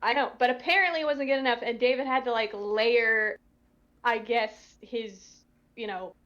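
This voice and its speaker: A woman's voice: a little high, steady tone, normal speed, a bit bright, highs cut off. A woman voice actor chatting casually in a group commentary, in a tentative, hedging way. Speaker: voice actor in an audio drama.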